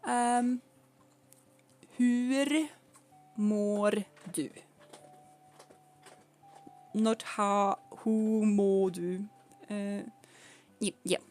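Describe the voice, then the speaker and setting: A woman's voice: medium pitch, fairly even intonation, drawn-out words, pauses often, darkish tone, soft background music. A young woman from Sweden with a Swedish accent, chatting casually with viewers on a live stream, laughing often and explaining things patiently.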